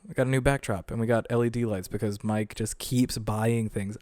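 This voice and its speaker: A man's voice: on the low side, steady tone, a little fast, darkish tone, dry recording, studio quality. Laid-back young man hosting a podcast. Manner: laid-back, swears casually. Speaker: podcast host. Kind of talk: casual podcast chat. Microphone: studio podcast mic.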